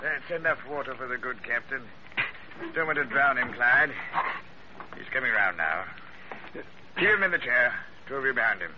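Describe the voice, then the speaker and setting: A man's voice: mid-range voice, expressive, normal speed, pauses often, natural voice. Man playing a menacing, swooping villain sea captain. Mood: cold menace. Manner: threatening, clipped orders, mocking politeness. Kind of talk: old-time radio adventure acting. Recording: muffled, hissy vintage radio recording.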